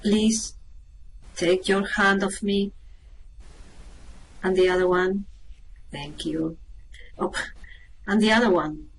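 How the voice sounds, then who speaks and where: A woman's voice: medium pitch, fairly even intonation, slow, pauses often, slight background noise, rough recording. A woman playing a level-headed, dryly unimpressed space traveler in a radio play, matter-of-fact in manner. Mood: patient, annoyed. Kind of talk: amateur radio play acting.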